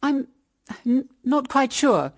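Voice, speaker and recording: A woman's voice: high-pitched, big pitch swings, slow pace, exaggerated voice. An actress with a British accent playing an evasive, anxious older mother-in-law in a period radio drama, hesitant and guarded.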